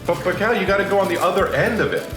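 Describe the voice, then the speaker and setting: A man's voice: high voice, rapid-fire, echoey room. A man chatting casually as a dad, coaching a young child through play. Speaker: father joining in a child's puppet show. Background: music.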